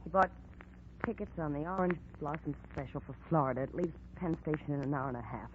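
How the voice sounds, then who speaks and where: An actress playing a swindler's guarded, defiant wife in a 1940s radio drama. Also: female voice, low voice, fast, close to an everyday voice. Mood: reluctant. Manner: guarded, defensive. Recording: noisy, tinny 1940s radio recording.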